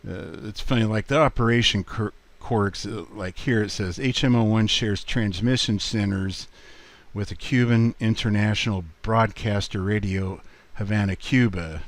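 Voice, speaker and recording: A man giving a casual screen walkthrough in a halting, unhurried way, as a video presenter. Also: lowish voice, steady tone, no room sound.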